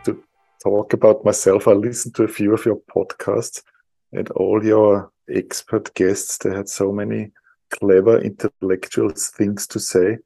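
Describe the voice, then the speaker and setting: Man, middle-aged, from Europe, non-native English accent, gravelly, mellow tone, casual wry self-deprecating talk. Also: fairly low pitch, flat delivery, measured pace, no echo, soft background music, poor audio. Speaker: guest surgeon.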